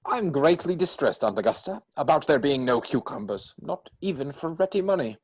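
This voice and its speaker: A man playing a flippant young Victorian gentleman in a stage comedy reading, with glib, mock-earnest theatrical delivery. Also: a little high, big pitch swings, moderate pace, breathy edge. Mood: feigned regret.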